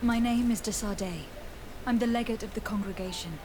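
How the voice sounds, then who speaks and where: A woman playing a composed, diplomatic young noblewoman legate in a video game, speaking formally and asking measured questions. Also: mid-range voice, background noise, lightly acted. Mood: composed, polite. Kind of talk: scripted video game dialogue.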